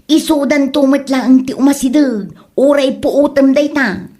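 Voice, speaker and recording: A woman's voice: sits high, measured pace, warm tone. A woman with an Ilocano accent playing a loyal, scolding politician's wife in a comedy drama. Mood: confident.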